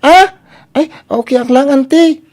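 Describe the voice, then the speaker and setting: A woman's voice: high-pitched, slow. A woman with an Ilocano Filipino accent playing a meek, helpful young relative in a comedy radio drama, deferential and a little hesitant. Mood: hesitant, sheepish.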